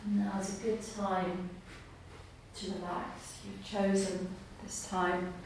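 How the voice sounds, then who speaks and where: A woman teaching yoga nidra, guiding a relaxation meditation in a calm, soothing, unhurried way with long pauses. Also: mid-range voice. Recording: roomy echo, faint background noise.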